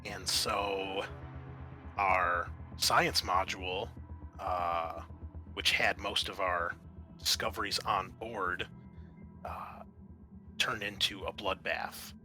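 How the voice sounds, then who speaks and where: Bright-voiced male game master. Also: deep voice, steady tone, soft background music. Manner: halting, thinking aloud as he narrates. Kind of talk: improvised tabletop game narration.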